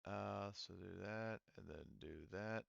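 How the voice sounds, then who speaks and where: A man casually narrating a tabletop game as game master, improvising in a halting, wordy way. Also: on the low side, creaky, dry recording, dull audio.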